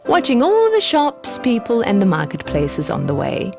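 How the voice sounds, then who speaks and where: Casting: female children's story narrator, hugely expressive pitch, medium register, music bed. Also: moderate pace, creaky.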